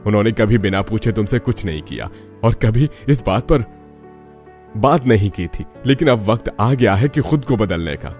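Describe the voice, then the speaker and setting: A man's voice: fairly low pitch, lively intonation, normal speed, a little mellow, faint music behind, natural voice. An actor playing a reasonable middle-aged husband and father in a cartoon family story, advising and reasoning.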